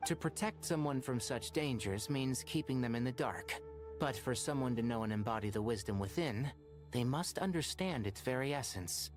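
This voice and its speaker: A man's voice: mid-range voice, animated, lightly acted. Man playing an earnest, composed scholar over music. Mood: grave. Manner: measured, serious, polite. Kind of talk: video game voice acting.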